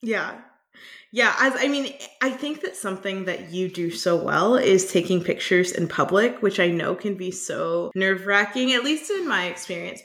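A woman's voice: medium pitch, fairly bright tone. A woman hosting an interview podcast, upbeat and chatty, often restarting her sentences. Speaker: podcast host. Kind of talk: casual interview chat.

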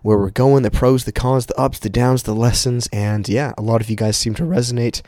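A man hosting a casual motivational podcast, speaking informally and emphatically, his voice slightly raspy and prone to cracking as he gets over a cold. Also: medium pitch, fairly even intonation, brisk, warm tone, no room sound, studio quality. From Canada. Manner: informal, emphatic, addresses listeners as guys, with profanity. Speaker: podcast host.

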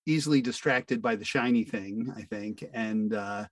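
A man's voice: lowish voice, moderate pace, dry recording, far from the mic. An older man with an American accent, chatting casually as an interview guest in a hesitant, self-deprecating way. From northeastern United States. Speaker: interview guest, amateur-turned voice actor.

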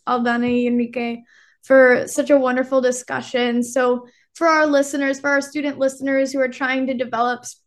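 A woman hosting a podcast interview, asking questions and slipping Spanish phrases into her English. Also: high voice. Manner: warm and polite, mixing Spanish phrases into English. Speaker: podcast host.

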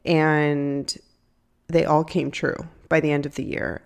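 A woman's voice: low-pitched, darkish tone. A young woman hosting a solo podcast, congested from a cold, talking in a chatty, candid way with fillers. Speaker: podcast host. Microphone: home podcast microphone.

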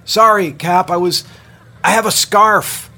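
A man voicing a flustered young man who shouts up from the water. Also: high voice, measured pace, voice pushed up, full character voice. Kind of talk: audiobook character voice.

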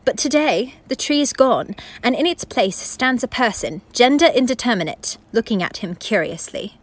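A woman narrating a radio play as a formal, philosophical storyteller with a measured, lecturing manner. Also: fairly high pitch, lively intonation. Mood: lyrical, calm. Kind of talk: scripted literary narration.

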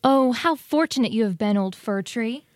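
A woman's voice: on the high side, lively intonation, normal speed, darkish tone, no room sound, studio quality, natural voice. A woman voicing a tiny, eager, inquisitive mouse in a children's storybook, full of wonder. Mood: admiring. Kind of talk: dramatized children's storybook reading.

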